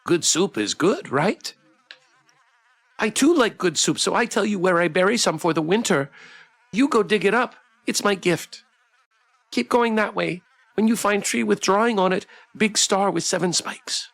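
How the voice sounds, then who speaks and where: A man's voice: high-pitched, expressive, measured pace, vocal fry, fairly bright tone, pitched above the performer's own voice, exaggerated voice. A man voicing a small, scrappy fairy who talks in clipped broken English, grateful but cocky. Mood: eager, chatty.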